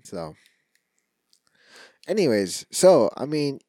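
A man's voice: medium pitch, sing-song, slow pace, mellow, no room sound, studio quality. A man co-hosting a casual sports podcast, his voice stuffy from allergies, rambling and joking with frequent restarts. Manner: rambling, jokey, restarts often. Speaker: podcast co-host.

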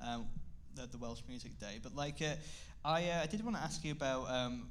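A man's voice: medium pitch, fairly quick. A man hosting a music podcast in casual chat, hesitant and giggly, with many fillers and restarts.

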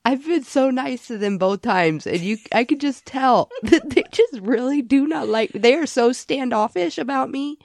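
A woman's voice: high voice, lively intonation, moderate pace, few pauses, darkish tone, dry recording. Giggly woman losing her voice, dry sound. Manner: giggly, laughs often. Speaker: podcast co-host. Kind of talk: casual chat.

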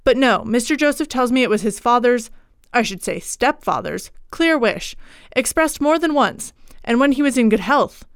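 Woman voicing a brisk, formal country rector. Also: a little high, expressive, dry recording, studio quality. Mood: grave, businesslike. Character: brisk, authoritative learned male country rector.

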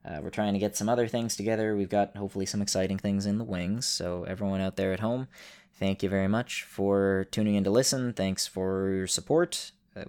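Male storyteller and show host, deep voice with gravelly fry. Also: darkish tone. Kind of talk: narration and casual host talk.

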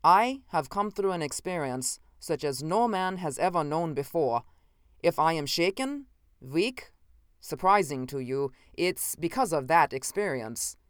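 A man playing a gaunt, intense scientist from another time, unnerved and cruel, speaking slowly and commandingly. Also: a little high, lively intonation, a bit bright, clean tone, no room sound, studio quality. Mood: shaken, intense. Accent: odd, unfamiliar. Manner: slow, deliberate, commanding.

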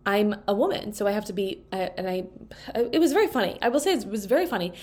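A young woman hosts her own casual podcast, her voice thick and stuffed up from allergies. She rambles in a chatty, easy way, full of "like" and "um", at a pitch neither high nor low, into a microphone set on a table. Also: expressive, quick pace, darkish tone. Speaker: podcast host. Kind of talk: casual solo podcast chat. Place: indoors at a table. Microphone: microphone propped on a table.

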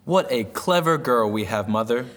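A man's voice: mid-range voice, expressive, normal speed, lightly acted. A man as a placid, proud dad, reverberant. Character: placid, proud middle-aged father. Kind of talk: comic radio drama acting. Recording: long reverberant echo, music.

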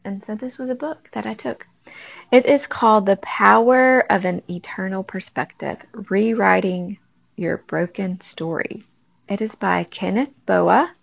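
Woman, raspy morning voice, heavy gravelly fry, conversational faith talk, dull lo-fi sound. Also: mid-range voice, measured pace, no echo. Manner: warm conversational, addresses listeners directly. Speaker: podcast host. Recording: dull lo-fi recording.

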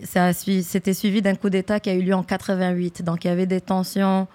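A woman's voice: medium pitch, little pitch movement, fairly quick, warm tone. A woman in her thirties, from Algeria, whose first language is an Algerian Arabic dialect. She talks casually as a guest in an interview and slips English phrases into her French. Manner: mixes French with English phrases, frequent fillers. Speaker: guest rapper.

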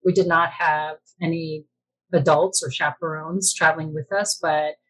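A woman's voice: sits low, fairly even intonation, normal speed, slightly rough, poor audio. An American woman in her fifties, reflectively telling personal stories as an interview guest. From born in Korea, raised in the United States. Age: 50s. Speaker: interview guest, Korean adoptee.

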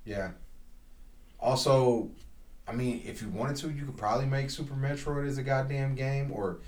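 A man's voice: medium pitch, fairly even intonation, normal speed, a little mellow. Echoey, profane man on a podcast. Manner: profane, blunt, emphatic opinions. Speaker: podcast co-host. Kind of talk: casual chat among friends. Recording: roomy echo, distant from mic.

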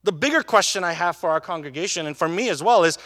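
A man with a high voice preaches to his congregation. He keeps it conversational, checking in with tag questions and restarting his sentences. The sound rings with the long reverb of a church hall. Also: expressive, quick pace, fairly bright tone. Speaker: pastor. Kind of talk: sermon.

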